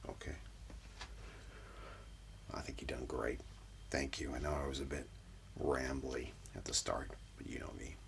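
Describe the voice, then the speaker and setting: A man speaking in soft, breathy, often whispered ASMR style, gently soothing and reassuring like a practitioner calming a client. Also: a bass voice, unhurried, a little hiss or hum. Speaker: ASMR host, role-playing Reiki practitioner. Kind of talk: soft-spoken ASMR, personal-attention session. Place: small room indoors.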